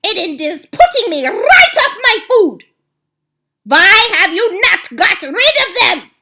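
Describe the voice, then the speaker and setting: A shrieking, spitting tyrant witch queen for a storybook: piercing high voice, pure rage. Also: sing-song, extremely slow, gravelly, pushed and bright, heavily acted. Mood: furious ranting. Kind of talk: storybook reading.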